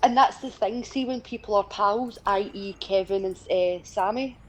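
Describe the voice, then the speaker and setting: A woman's voice: mid-range voice, moderate pace, rough recording. A woman in her twenties with a Scottish accent, chatting casually and bluntly as a stream co-host, swearing freely. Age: 20s. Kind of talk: casual chat during a gaming stream.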